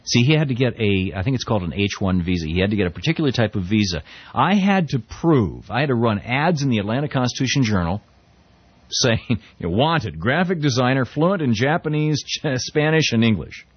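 Husky-voiced man in a political commentary monologue. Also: mid-range voice, lively intonation, a little fast, no echo, muffled recording. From United States. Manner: conversational, with restarts and quoted readings. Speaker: talk radio host.

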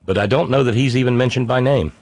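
A man's voice: mid-range voice, fast talker, a little mellow, no echo. A man hosting a live stream, talking in a rambling, wry way with many fillers and restarts while he reads out viewer comments. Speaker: livestream host reading chat. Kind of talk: casual unscripted monologue.

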